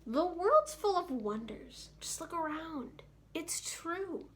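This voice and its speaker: A woman with a high voice leads a children's story time. She takes her time, with a very slow delivery. Her manner is playful and she speaks straight to her young listeners. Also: animated, fairly bright tone, slight background noise. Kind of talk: picture-book story time with read-alouds and sing-along.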